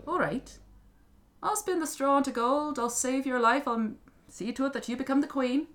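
A woman's voice: high voice, moderate pace, voice pushed up, close to an everyday voice. A woman voicing a sly little man-like creature with an Irish accent, wheedling and patronising as in a fairy tale. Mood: grumbling, bargaining. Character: small sly man-shaped creature, gleeful bargainer.

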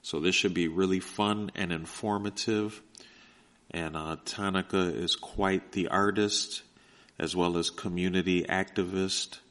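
A man hosting, reading out event announcements in a slow, relaxed way with many fillers. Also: low-pitched. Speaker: radio host. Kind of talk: casual announcements.

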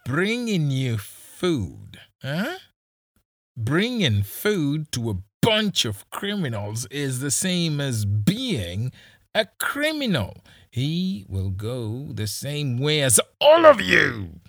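A man voicing a cruel, mocking middle-aged male police squad leader, with a loud, slow, drawn-out delivery. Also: on the high side, very animated, vocal fry, no echo, clean studio sound, voice pushed up, full character voice. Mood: menacing.

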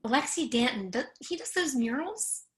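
A woman's voice: high voice, expressive, moderate pace, a little hiss or hum. A woman playing a wry, bawdy young would-be model in a play reading, with a teasing way of talking. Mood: cheeky.

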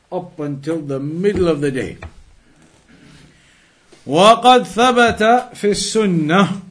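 A man giving a religious lesson as a teacher, reading and explaining, repeating and rephrasing his phrases for emphasis. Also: on the high side.

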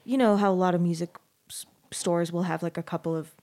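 A woman's voice: on the low side, steady tone, fairly quick, darkish tone. A woman in her thirties hosting a podcast, talking in a rambling, casual way with many fillers and restarts. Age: 30s. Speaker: podcast host. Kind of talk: casual chat.

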